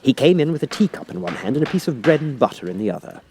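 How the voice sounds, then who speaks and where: Very animated male storybook narrator. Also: medium pitch, fast, a little hiss or hum. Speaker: audiobook reader.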